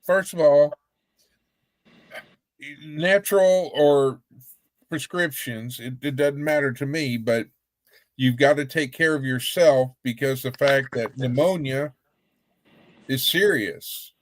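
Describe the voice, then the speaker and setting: A man chatting on a livestream, rambling and repeating words as he gently scolds. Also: medium pitch, slow, pauses often, no room sound. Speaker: guest on a livestream. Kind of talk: casual livestream chat.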